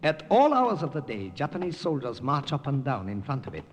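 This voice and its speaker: An actor playing a courteous Siamese major of the wartime underground, with stiffly formal phrasing, in a 1950s radio drama. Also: male voice, mid-range voice, huge pitch swings, a little fast. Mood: gracious hosting. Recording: noisy old radio recording with clicks.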